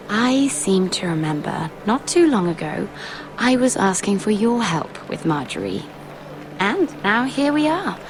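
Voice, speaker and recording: A woman's voice: mid-range voice, noisy background. A woman playing a petty, resentful young handmaiden in a video game drama, pointed and needling in manner. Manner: passive-aggressive, pointed, needling. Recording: music behind the voice.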